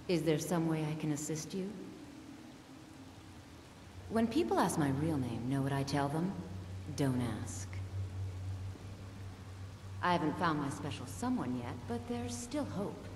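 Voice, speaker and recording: A woman's voice: sits low, animated, measured pace, pauses often. A woman voicing a wry, flirty quest-giving companion in a fantasy video game, with a teasing, sardonic delivery. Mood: guarded, dry, then playful, coy. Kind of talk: video game dialogue acting. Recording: echoey reverberant hall, clear background noise.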